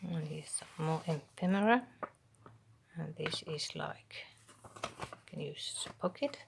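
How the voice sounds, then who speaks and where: Soft-spoken Finnish woman, half-whispered and gravelly. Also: deep voice. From Finland. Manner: soft half-whispered, hesitant, with pauses and ums. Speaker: craft channel host. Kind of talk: soft show-and-tell of a handmade journal.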